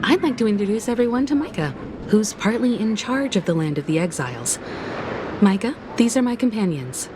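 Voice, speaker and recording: A woman's voice: medium pitch, animated, fairly quick, background noise, close to an everyday voice. A woman playing a composed, gracious leader in a video game, poised and polite. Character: composed, gracious adult woman leading her companions. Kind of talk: acted video game dialogue.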